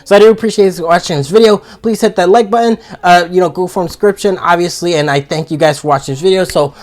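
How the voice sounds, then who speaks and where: A man's voice: high voice, quick pace, a little mellow. A man with a froggy, sore-throated voice from being sick, giving a blunt, profane comedic review in a casual monologue. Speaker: video reviewer.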